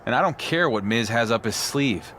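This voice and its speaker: A man playing a wary, defiant pro wrestling champion in a video game, speaking in a dry, deliberate way. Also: mid-range voice, expressive, a bit bright, a little hiss or hum, natural voice. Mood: edgy, defiant.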